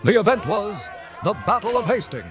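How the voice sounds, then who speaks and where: A man's voice: high voice, big pitch swings, normal speed, shouting, over music. A man playing a pompous cartoon history presenter who declaims dramatically. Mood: emphatic.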